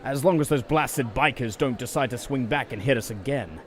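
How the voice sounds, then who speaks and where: A man's voice: mid-range voice, moderate pace, lightly acted. A man playing a courteous, commanding leader of a wasteland settlement in an audio drama, with slightly old-fashioned manners. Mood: warm, grateful. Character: kindly settlement leader under raider attack, courteous, commanding. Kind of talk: post-apocalyptic audio drama acting.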